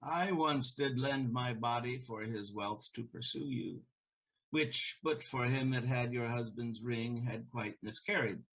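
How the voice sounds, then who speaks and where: A man playing an elderly, loyal merchant in a Shakespearean audio play, speaking in a measured, solemn way. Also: medium pitch, fairly bright tone, rough recording. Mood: grave, earnest.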